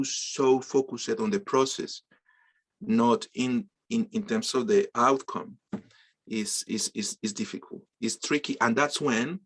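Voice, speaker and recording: Halting man leading an online class. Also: mid-range voice, fairly bright tone. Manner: halting, repeats words often. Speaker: course instructor.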